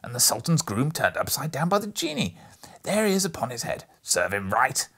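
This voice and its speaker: A man voicing an old miserly man softened by memories, with a husky voice, swinging between laughing and crying and dropping into whispers. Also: sits low, level tone, brisk, full character voice. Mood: ecstatic. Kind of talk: storybook reading.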